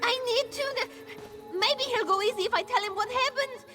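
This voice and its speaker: A woman's voice: extremely high pitch, moderate pace, music in the background. A woman playing a panicked, fearful cat-folk woman in a fantasy game, pleading frantically.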